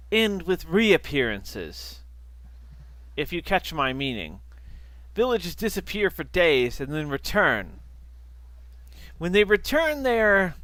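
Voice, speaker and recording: A man voicing a guarded male village mayor who drops slow, hesitant hints. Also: fairly high pitch, a bit bright, dry recording, exaggerated voice. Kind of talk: tabletop game voice acting.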